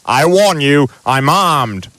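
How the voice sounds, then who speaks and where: A man's voice: fairly high pitch, sing-song, unhurried, pitched above the performer's own voice, heavily acted. Man doing a blustering pompous uncle. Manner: shouty, stiff and indignant. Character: pompous blustering middle-aged man, frightened.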